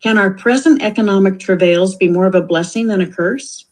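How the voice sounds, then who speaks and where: A woman reading scripted audiobook commentary in a deliberate, reflective way. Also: mid-range voice, poor audio.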